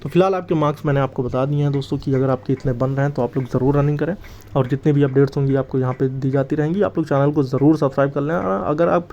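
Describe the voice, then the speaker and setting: A man's voice: medium pitch, fairly even intonation, fast, a little mellow, slight background noise. A man giving an informal, emphatic and reassuring explainer to listeners, repeating his key points. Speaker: exam news channel host. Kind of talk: informal explainer addressed to exam candidates. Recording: slightly dull, lo-fi sound.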